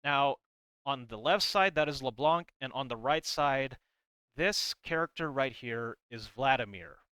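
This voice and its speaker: A man's voice: medium pitch, lively intonation, unhurried, sharp tone, clear, resonant tone, dry recording. A man giving a casual, rambling explainer commentary on game lore, as a video creator talking through what he shows. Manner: rambling, hedging, reads comic text aloud between comments.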